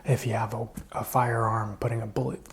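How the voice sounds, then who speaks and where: A man playing a calm, helpful survivor in a zombie apocalypse ASMR roleplay, whispering and speaking softly in a hesitant, intimate, musing way. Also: medium pitch, a little fast, slight background noise, close to an everyday voice. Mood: hushed, explanatory.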